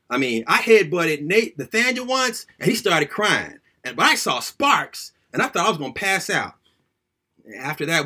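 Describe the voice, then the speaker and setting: A man reading a first-person story aloud in an animated, conversational, colloquial way, like someone recounting childhood memories. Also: high-pitched, slightly rough.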